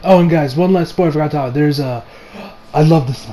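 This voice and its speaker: Young man rambling casually, higher-pitched voice. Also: fast, slight background noise. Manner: excitable, slangy fan talk with trailing asides. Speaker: video reviewer. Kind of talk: casual rambling movie review. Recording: music behind the voice. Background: music.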